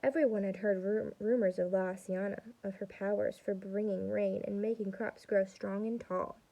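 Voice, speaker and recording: Creaky, very dark-voiced young woman reading aloud. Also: medium pitch, rough recording. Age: young adult. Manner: expressive, pauses to explain to young listeners. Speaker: student reading aloud to children. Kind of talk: storybook read-aloud with teaching asides.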